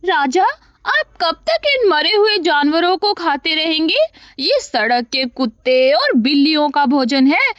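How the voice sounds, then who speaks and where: A woman voicing a discontented lioness queen in an animated story, reproachful and haughty. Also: sits high, unhurried, dry recording, highs cut off, heavily acted. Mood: fed up. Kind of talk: animated moral story acting.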